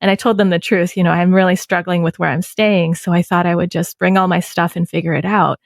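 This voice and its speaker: A woman in her thirties hosting an interview podcast, speaking in a measured, reflective way. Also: on the low side, little pitch movement, creaky, no echo, studio quality. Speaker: podcast host. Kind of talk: conversational interview.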